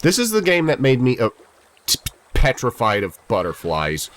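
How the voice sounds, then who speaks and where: A man's voice: mid-range voice, huge pitch swings, normal speed, fairly bright tone, no echo. Stuffy-nosed young man rambling over gameplay. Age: 20s. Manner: rambling, chatty, self-deprecating. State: stuffy from a head cold. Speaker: gaming YouTuber. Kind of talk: casual let's-play commentary.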